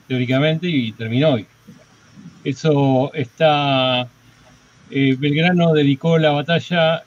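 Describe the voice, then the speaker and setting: An Argentine man giving an informal, explanatory account of history in a halting way. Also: mid-range voice. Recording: dull lo-fi sound with noise.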